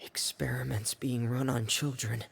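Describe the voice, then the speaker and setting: An actor playing a shy, pensive teenage boy in a video game, speaking softly and haltingly with a breathy voice. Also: medium pitch, little pitch movement, exaggerated voice. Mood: quietly worried. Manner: hesitant, halting. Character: shy, pensive, soft-spoken teenage boy, hesitant and worried. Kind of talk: video game dialogue acting.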